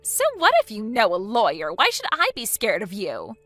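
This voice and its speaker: A woman's voice: medium pitch, huge pitch swings, normal speed, pushed and bright, no room sound, soft background music, exaggerated voice. A woman voicing a selfish, entitled young woman who reacts with whiny, indignant outbursts.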